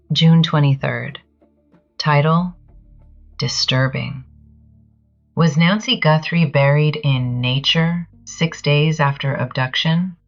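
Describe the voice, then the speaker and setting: A woman reading scripted commentary as a narrator, in a deadpan, dryly sardonic manner. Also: low voice, lo-fi sound. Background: music.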